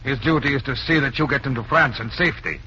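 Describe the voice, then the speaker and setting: A crisp, forceful male villain acted by a man from an old radio play, a Nazi agent posing as a doctor. He speaks formally and precisely, with cool menace, through a muffled, noisy vintage recording. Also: medium pitch, fast, close to an everyday voice. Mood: smooth menace. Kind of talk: 1940s radio drama acting.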